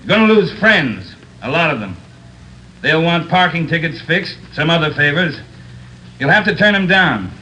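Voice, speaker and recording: A veteran police captain in his fifties lectures recruits bluntly and dryly. His voice sits fairly high with a sharp, bright edge. A faint hiss runs under the old radio drama. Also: low-quality recording. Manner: blunt, dry speechmaking. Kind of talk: 1950s radio crime drama acting.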